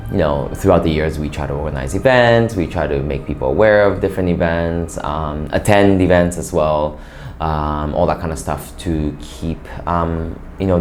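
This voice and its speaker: A young man from Japan answers interview questions casually, pausing often with fillers and restarts. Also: very deep voice, a little mellow. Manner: hesitant, many fillers and restarts. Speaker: interview guest, bank operations worker.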